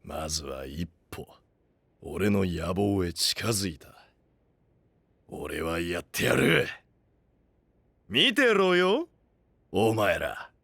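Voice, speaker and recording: A man playing a gruff, ambitious knight boss with a soft heart, speaking in brash delinquent style in an anime voice drama. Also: low voice, very animated, slow pace, long pauses, no room sound, exaggerated voice. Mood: determined. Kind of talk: Japanese anime voice drama acting. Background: background music.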